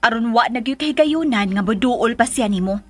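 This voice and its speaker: A woman's voice: on the high side, lively intonation, normal speed, breathy edge. A woman playing a jealous, sarcastic lover who taunts emphatically in a Filipino radio drama.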